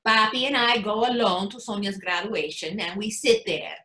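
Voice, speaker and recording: A woman's voice: medium pitch, normal speed, bright, forceful tone, close to an everyday voice. A woman acting a brash, exasperated mother who tells her story in a punchy readers theatre monologue. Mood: mischievous. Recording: echoey room.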